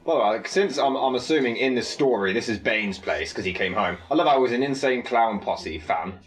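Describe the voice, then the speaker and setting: A man's voice: mid-range voice, lively intonation, fairly quick. Man chatting casually through a film commentary. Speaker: filmmaker and actor on a cast commentary.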